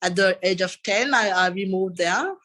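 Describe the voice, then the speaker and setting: A man's voice: sits high, moderate pace, bright, forceful tone. A man in his thirties with a Sri Lankan accent, a podcast guest telling his story in a casual, rambling way, often saying "and after that". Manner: rambling, repeats "and after that" and "you know". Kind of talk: casual interview chat.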